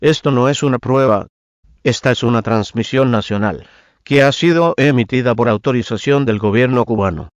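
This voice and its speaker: A man's voice: mid-range voice, fast talker, dry recording. Dull-sounding male government emergency announcer. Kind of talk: formal scripted emergency broadcast.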